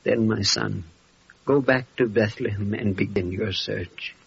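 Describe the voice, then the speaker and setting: An actor playing a grave, fatherly wise man with a gravelly, husky voice and solemn manner in an old radio drama. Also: lowish voice, full character voice. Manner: solemn, measured pronouncements. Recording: muffled vintage radio recording.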